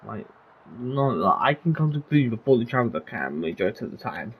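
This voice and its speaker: A game streamer giving casual commentary, informal and rambling, thinking aloud in a husky voice. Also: medium pitch, sing-song, fast, highs cut off.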